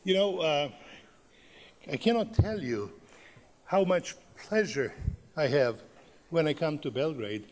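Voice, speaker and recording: A man's voice: fairly high pitch, animated. A middle-aged man from Serbia, a professor, gives a conference lecture in English with a Serbian accent, speaking unhurriedly with many filler sounds. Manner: unhurried, frequent uh fillers, plain non-native phrasing. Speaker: professor giving a conference keynote. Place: large conference hall. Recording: long reverb of a large hall.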